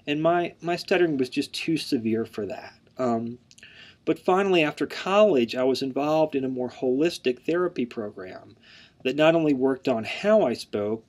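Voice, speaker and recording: A man in his thirties gives an earnest, plain introduction to an online class. His mild stutter shows in repeated words, and he fills his pauses with "um". His voice sits at an ordinary, middle pitch. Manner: mild stutter with word repetitions; frequent "um" fillers, earnest and plain. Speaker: instructor. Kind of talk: online course introduction lecture.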